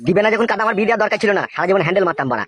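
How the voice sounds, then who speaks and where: A man acts out an indignant young village son in a comic cartoon. His voice is very high and races along, argumentative and crude. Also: animated, very fast, a bit bright. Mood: irritated.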